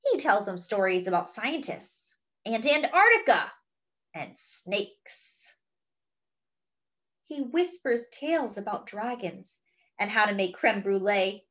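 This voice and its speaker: A woman's voice: medium pitch, a bit bright. A woman reading a picture book aloud expressively, with chatty, wondering asides to young listeners. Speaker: children's story reader.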